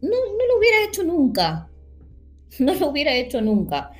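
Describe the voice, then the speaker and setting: Argentine woman, rioplatense accent, earnest, animated, faint music behind. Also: high voice, moderate pace. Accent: Rioplatense Spanish. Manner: earnest, animated, many fillers. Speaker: panelist. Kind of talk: casual discussion.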